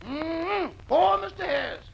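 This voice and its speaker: Cheeky servant in old radio comedy, super raspy creaky voice, really noisy recording. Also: male voice, very high-pitched, expressive, measured pace, dull audio, heavily acted. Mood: droll. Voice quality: very gravelly. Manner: droll, cheeky asides. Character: wisecracking, sly male household servant. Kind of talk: 1940s radio comedy acting.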